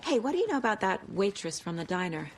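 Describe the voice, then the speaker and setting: A woman's voice: medium pitch, big pitch swings, slight background noise, lightly acted. An actress playing a composed, businesslike female federal investigator in a naturalistic video game style. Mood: focused. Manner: businesslike, measured, matter-of-fact.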